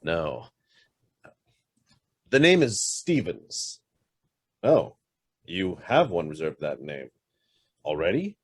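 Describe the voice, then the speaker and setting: A man acts out a middle-aged businessman in a radio drama. He is curt and demanding, interrogating with guarded suspicion. His pitch swings widely and his voice has a husky grain. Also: huge pitch swings, takes it slowly, long pauses, exaggerated voice. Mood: brisk, commanding. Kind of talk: stage-style radio drama acting.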